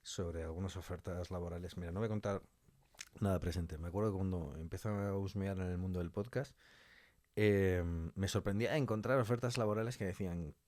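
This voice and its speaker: Low-voiced Spanish man telling anecdotes. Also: fairly even intonation, brisk, a little mellow, no echo. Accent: Spanish (Spain). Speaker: podcast guest, scriptwriter. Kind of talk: casual anecdotal conversation.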